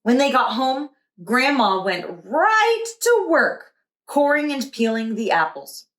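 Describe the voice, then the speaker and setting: A woman teacher reading a picture book aloud to young children with deliberate expression. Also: high voice, big pitch swings, a bit bright. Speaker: preschool teacher. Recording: roomy echo.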